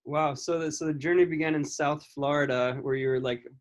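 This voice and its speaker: Higher-pitched man, casual rambling interviewer. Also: steady tone, fairly quick. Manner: rambling, repeats and rephrases mid-sentence. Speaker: podcast interviewer. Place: video call. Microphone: video call microphone.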